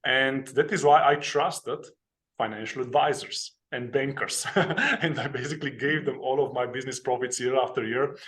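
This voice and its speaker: A man's voice: medium pitch, moderate pace, a bit bright. A man from Slovenia, a guest telling his story in an interview, plain and earnest, with many hesitations. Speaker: guest, author and investing educator.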